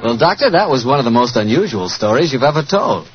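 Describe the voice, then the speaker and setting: A jovial, folksy American man working as announcer and pitchman in 1940s radio style. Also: sing-song, quick pace, soft background music. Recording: dull 1940s radio recording.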